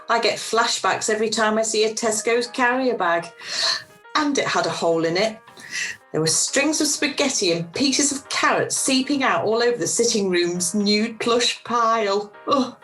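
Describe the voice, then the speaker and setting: A woman's voice: mid-range voice, measured pace, a bit bright, soft background music, natural voice, low-quality recording. A woman playing a wry, self-deprecating, frazzled organiser in an amateur radio play. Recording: home recording over video call.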